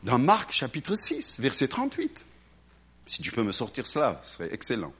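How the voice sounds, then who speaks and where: A man preaching a lively sermon to a congregation, acting out little voices and swinging between loud and hushed delivery. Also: medium pitch, normal speed, husky. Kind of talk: animated sermon.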